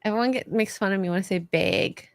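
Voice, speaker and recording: A woman's voice: mid-range voice, quick pace, no room sound, studio quality. A woman chatting casually on a livestream, playful and curious. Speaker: livestream co-host. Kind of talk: casual chat.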